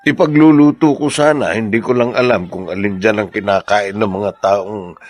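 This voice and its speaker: A man acting a low, hesitant, kindly friend. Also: steady tone, dry recording, lightly acted. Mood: sheepish, worried. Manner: hesitant, halting. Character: awkward, kindly humble man consoling a grieving friend. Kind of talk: Filipino radio-style drama acting.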